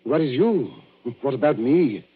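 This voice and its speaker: Jumpy husband, bit husky, muffled old radio sound. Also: male voice, a little high, sing-song, measured pace, exaggerated voice. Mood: anxious. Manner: anxious, clipped, upper-class. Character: nervous, haunted British husband. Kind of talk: 1940s radio drama acting.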